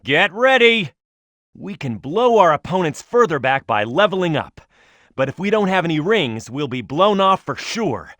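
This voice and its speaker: A man's voice: fairly high pitch, sing-song, moderate pace, fairly bright tone, no echo, studio quality. A man voicing a tough, hot-headed echidna brawler in a video game, confident and brash, with punchy, emphatic delivery. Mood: urgent, instructive. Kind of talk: video game voice acting, tutorial hints and battle shouts.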